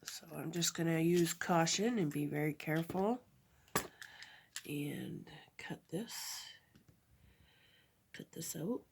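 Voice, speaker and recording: A woman with a stuffy nose from a cold, chatting through a craft tutorial at her table, halting often and correcting herself. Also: sits low, a little mellow, slight background noise. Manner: halting, chatty, many fillers and self-corrections. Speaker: crafter demonstrating a project.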